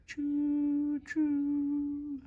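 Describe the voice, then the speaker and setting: A man voicing a sleepy steam train in a children's storybook, with drawn-out hoots and hushed rhythmic chants. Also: very high-pitched, monotone, phone-quality sound, pitched above the performer's own voice, full character voice. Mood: hooting. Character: steam train engine, hooting and chuffing sleepily.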